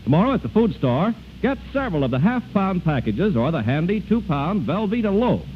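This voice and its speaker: Animated 1940s American radio pitchman. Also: a little fast. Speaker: radio commercial announcer. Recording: tinny, noisy 1940s radio broadcast.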